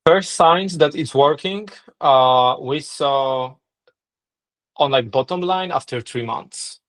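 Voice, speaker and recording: A man's voice: a little high, slow, fairly bright tone. A middle-aged man with a Polish accent, a non-native English speaker, being interviewed on a podcast and thinking aloud with frequent restarts and "right?" checks. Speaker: co-founder and marketing lead, guest.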